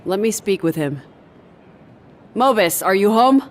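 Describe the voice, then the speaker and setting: A woman's voice: mid-range voice, expressive, measured pace, lightly acted. An actress playing a composed, serious woman in a sci-fi audio drama. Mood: businesslike.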